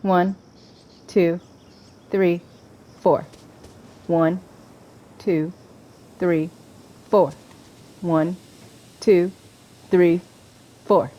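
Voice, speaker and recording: A woman giving casual, chatty gameplay commentary, excitable and often interrupting herself. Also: lowish voice, very slow, long pauses, muffled recording. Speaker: video game streamer playing through a story quest.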